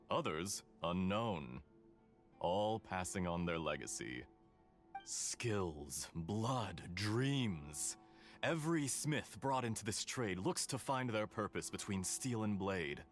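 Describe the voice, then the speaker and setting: Polite scheming engineer in a game, lowish voice, very expressive, flowery and formal. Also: male voice. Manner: formal, flattering, theatrical. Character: courteous, smooth-talking, calculating male foreign engineer. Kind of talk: video game voice acting.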